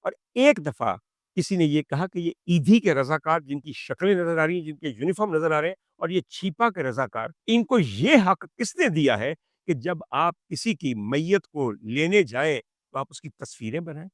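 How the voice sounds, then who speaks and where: A man's voice: fairly high pitch, lively intonation, moderate pace, no echo, studio quality. A man with a Pakistani accent delivering dramatic crime commentary as a monologue, emphatic, rhetorical and indignant. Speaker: commentator, presenter.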